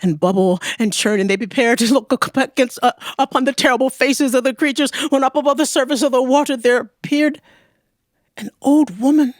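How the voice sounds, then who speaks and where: A woman storyteller narrating a folktale in a deliberate, expressive way, with dramatic pauses. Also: sits high, dry recording, clean studio sound.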